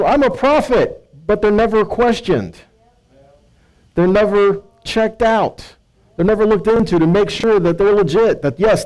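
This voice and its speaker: A man's voice: high voice, a little mellow, low-quality recording. A man giving an informal Bible teaching, reading scripture and putting rhetorical questions to his listeners.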